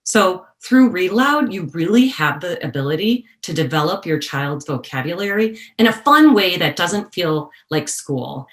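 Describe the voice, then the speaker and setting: Woman workshop presenter, low register, deliberate explanatory delivery of prepared educational material. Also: lively intonation, echoey room.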